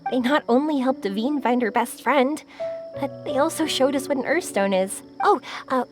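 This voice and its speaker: A woman's voice: high voice, normal speed, music in the background, lightly acted. A woman playing an upbeat, encouraging, kind young woman with an adventurous streak in a video game. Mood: warm, practical. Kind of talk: video game character acting.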